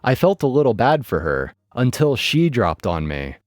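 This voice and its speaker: A man narrating online stories in a lively, dry storytelling manner. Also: mid-range voice, moderate pace, dry recording, soft background music.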